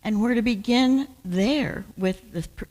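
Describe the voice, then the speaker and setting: A soft-spoken woman minister explaining a spiritual teaching as a guest on a church stage. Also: fairly high pitch, a little slow. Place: church sanctuary. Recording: hall reverb from a large sanctuary.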